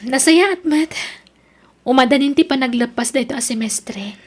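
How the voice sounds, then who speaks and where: A woman's voice: sits high, normal speed. A woman with an Ilocano accent acting in an audio drama as a young student, an evasive and defiant girlfriend. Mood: evasive, explaining.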